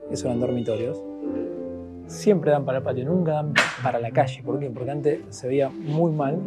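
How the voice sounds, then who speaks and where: A man's voice: mid-range voice, moderate pace, loud music behind the voice. A man gives enthusiastic walking-tour commentary to camera, talking straight to his viewers. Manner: enthusiastic, addresses viewers directly. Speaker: travel vlogger. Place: busy outdoor market streets in an old medina. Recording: busy crowd noise outdoors.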